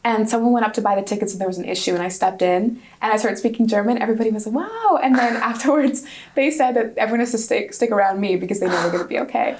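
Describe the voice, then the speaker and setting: A woman's voice: a little high, quick pace, a little mellow, slight background noise. Student guest, woman in her twenties, native Hungarian speaker; upbeat, chatty answers with restarts. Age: 20s. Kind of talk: casual interview answers.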